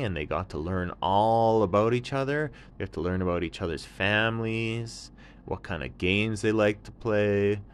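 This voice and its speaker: Guy with a lowish voice making up a bedtime story for kids, keeps it simple. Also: a little hiss or hum. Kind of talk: improvised children's bedtime storytelling.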